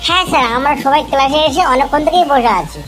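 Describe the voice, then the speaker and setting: A woman's voice: high voice, rapid-fire, a bit bright, faint music behind. A woman voicing an earnest young animal pupil in an amateur cartoon comedy. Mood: eager, nervous.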